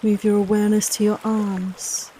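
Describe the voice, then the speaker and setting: A woman leading a guided relaxation meditation in a soft, soothing, unhurried, reassuring manner, with long pauses between phrases. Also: mid-range voice, little pitch movement, slight background noise. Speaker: guided meditation and yoga nidra teacher.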